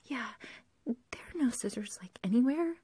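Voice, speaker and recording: Breathy whispering obsessive yandere girlfriend played by a woman. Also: a little high, lively intonation, normal speed, mellow, dry recording. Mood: apologetic, menacing. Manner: rambling, hesitant, cooing, giggly. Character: obsessive, love-crazed young female kidnapper, sweetly menacing, scatterbrained. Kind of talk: whispered ASMR roleplay acting. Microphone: close ASMR microphone, dry.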